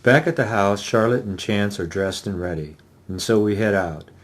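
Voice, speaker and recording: Man reading a novel, lowish voice. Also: moderate pace. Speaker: author reading aloud. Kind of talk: dramatic reading of a first-person novel.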